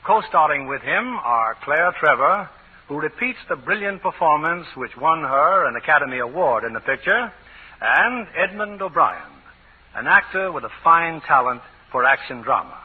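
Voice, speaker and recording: A man's voice: fairly high pitch, animated, moderate pace, slightly rough. A man presenting as a theatrical radio host, delivering polished scripted narration in 1940s style. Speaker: announcer and host. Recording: muffled, tinny 1940s radio broadcast.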